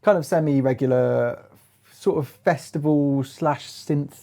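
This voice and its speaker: A man's voice: a little high, a little slow, vocal fry, dark tone. A man presenting a hands-on music gear review in a casual chat, feeling his way through phrases with lots of hedging.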